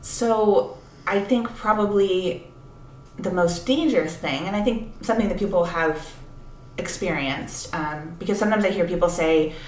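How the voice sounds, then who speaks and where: A woman with a slightly low voice speaks as an editor in a casual podcast conversation. She explains thoughtfully, in a clearly echoey room with faint noise behind her. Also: normal speed, darkish tone.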